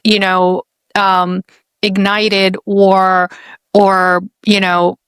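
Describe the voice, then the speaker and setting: A woman's voice: medium pitch, level tone, very slow, fairly bright tone, smooth, no echo. Earnest middle-aged woman host, dry sound. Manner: earnest, reflective, repeats and restarts phrases. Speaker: podcast host. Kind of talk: casual interview conversation.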